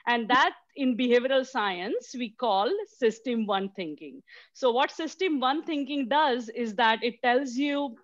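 A woman in her forties from Mumbai giving a mentorship talk over a video call, halting with many fillers and restarts. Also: a little high, dry recording. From Mumbai, India. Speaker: guest speaker. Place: small room indoors. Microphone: headset on a video call. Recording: dull lo-fi video call audio.

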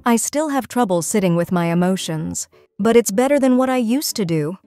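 A woman reads the lead part in a comic dub at a middle pitch. Her delivery is playful and lively, with soft music behind her. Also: moderate pace. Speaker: comic dub narrator and voice actor.

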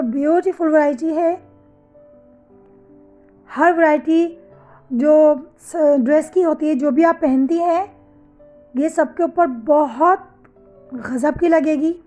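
A woman with a fairly high voice and a sore throat talks in a casual, chatty way, speaking. She hosts a fashion channel and calls her viewers sisters and mothers. Soft music plays faintly under her voice-over. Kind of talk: casual voice-over for a slideshow of products.

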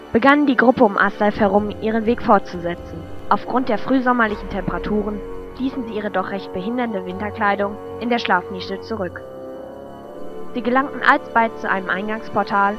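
A woman's voice: medium pitch, natural voice. A woman reading scripted narration as the storyteller of a fantasy audio drama. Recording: muffled lo-fi amateur recording. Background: loud music.

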